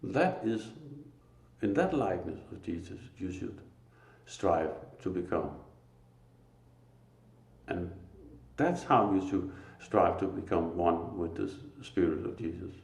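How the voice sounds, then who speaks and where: A man with a Danish accent giving a slow, earnest, informal spiritual talk, pausing often. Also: low voice, animated, darkish tone. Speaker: lay preacher. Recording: echoey room.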